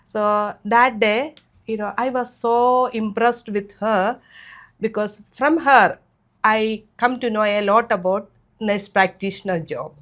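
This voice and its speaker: A woman's voice: medium pitch, slow pace. A middle-aged woman hosts the interview in a mid-range voice. She rambles a bit, with lots of "you know" and "uh", as she introduces her guest. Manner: warm, rambling, many fillers. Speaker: host. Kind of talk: interview hosting.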